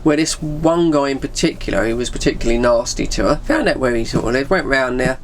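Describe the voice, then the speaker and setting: A man's voice: mid-range voice, brisk, a little hiss or hum. A middle-aged man with an English accent chats casually and informally, rambling through a personal anecdote with plenty of slang. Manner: informal British slang, acts out bits of dialogue. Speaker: vlogger telling personal stories.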